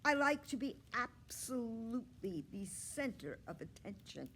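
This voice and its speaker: A woman's voice: on the high side, big pitch swings, measured pace, a bit bright, a little hiss or hum, pitched above the performer's own voice, full character voice. A woman voicing a confident, theatrical little schoolgirl who loves attention, in a storybook reading, with a self-assured, showy manner.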